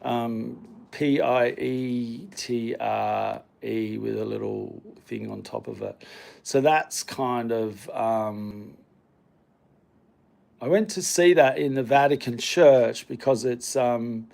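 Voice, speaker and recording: Slow, gravelly-voiced rambling man. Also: medium pitch, darkish tone. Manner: rambling, hesitant, self-deprecating. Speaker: vlogger. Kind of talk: casual home tour chat. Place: home hallway and studio room.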